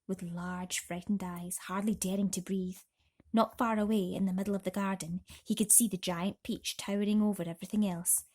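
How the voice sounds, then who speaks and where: A woman narrating a children's bedtime story expressively, dramatically dropping into breathy, hushed tones. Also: mid-range voice. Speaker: storybook reader.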